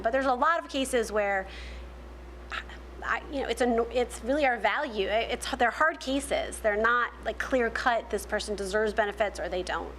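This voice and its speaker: A woman's voice: a little high, a bit bright, slight background noise. A woman on a discussion panel, explaining a policy problem at length with frequent restarts and fillers.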